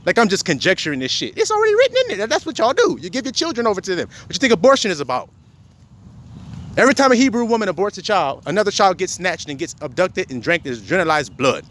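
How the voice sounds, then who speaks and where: A man's voice: high voice, sing-song, quick pace, a bit bright. A man delivering a profane, confrontational rant as a self-styled preacher, quoting scripture and firing rhetorical questions at his listeners. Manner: confrontational, fires rhetorical questions, heavy swearing.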